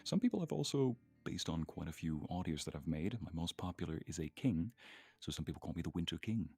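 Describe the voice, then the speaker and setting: A man with a low, creaky voice chats casually on a live stream. His intonation swings animatedly and his talk is playful, with faint music behind him. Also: lively intonation, fairly quick, a little mellow. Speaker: voice actor and guest creator. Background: faint background music with guitar.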